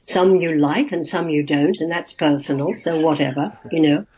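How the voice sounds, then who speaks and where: A woman's voice: low-pitched, moderate pace, mellow, breathy edge. An older woman from Australia, now, a guest actress telling stories in a chatty way and laughing easily. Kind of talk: casual interview chat. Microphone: video call. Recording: muffled video call audio.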